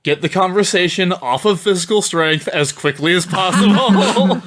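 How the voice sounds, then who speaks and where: A man's voice: sits high, unhurried, a bit bright. A man talking casually as a debater on a comedy podcast. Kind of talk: casual chat.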